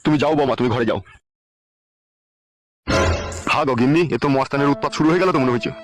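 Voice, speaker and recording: A man voicing a grumpy, foul-mouthed older father-in-law in a comic cartoon dub, grumbling excitably. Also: medium pitch, rapid-fire, loud music behind the voice, close to an everyday voice. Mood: worried.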